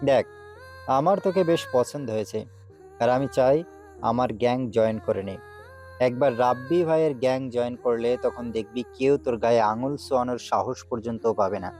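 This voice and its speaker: Man acting swaggering gang boss, dark voice. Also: mid-range voice, normal speed, soft background music, natural voice. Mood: taunting, commanding. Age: young adult. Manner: commanding, taunting. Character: cruel, swaggering young male campus gang boss. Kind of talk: Bengali audio story acting.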